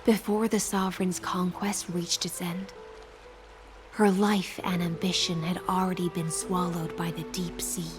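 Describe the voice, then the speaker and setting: A woman voicing a poised, world-weary storyteller in a video game, theatrical and measured, with hushed phrase endings, over music. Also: fairly low pitch, flat delivery, lightly acted. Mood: solemn, cold. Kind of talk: dramatic video game narration and monologue.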